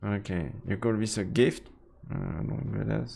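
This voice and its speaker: A man doing live let's play commentary and reading game text aloud, halting and repeating words as he goes. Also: lowish voice, steady tone, vocal fry, dark tone, slightly rough. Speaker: game streamer. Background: faint game music.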